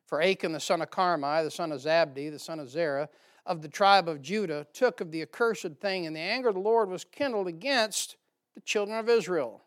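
A man's voice: fairly high pitch, brisk. A middle-aged American man preaching a church sermon and reading from the Bible, with informal, folksy asides to the congregation.

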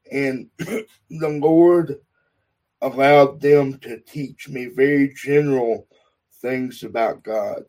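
A man with a Texan accent, a podcast guest telling his story in a slow, deliberate, earnest way. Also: mid-range voice, darkish tone, low-quality recording. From Texas. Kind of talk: podcast interview.

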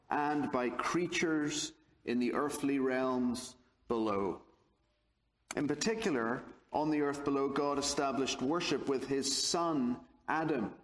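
Belfast-accented man, unhurried lecture delivery, mid pitch, roomy echo. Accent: Belfast, Northern Irish. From Northern Ireland. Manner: measured, deliberate delivery with dry humor. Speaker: guest lecturer. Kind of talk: theology lecture. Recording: echoey lecture hall.

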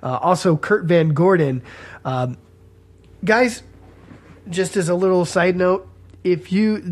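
A man hosting a teaching podcast while fighting a cold, congested with a scratchy throat, casual and chatty, pausing to cough. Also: on the high side, a little mellow. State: stuffy with a head cold. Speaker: podcast host.

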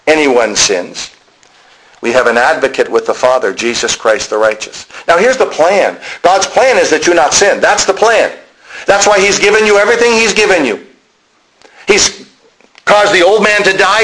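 Higher-pitched male preacher, emphatic and explanatory. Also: expressive, unhurried, a bit bright, low-quality recording. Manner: emphatic repetition of key phrases; explanatory, addressing listeners directly. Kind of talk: sermon.

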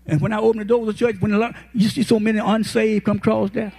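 A man in his eighties, a pastor, preaching a folksy, impassioned sermon that rises to shouted peaks. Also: high voice, level tone, fast talker, creaky. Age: 80s.

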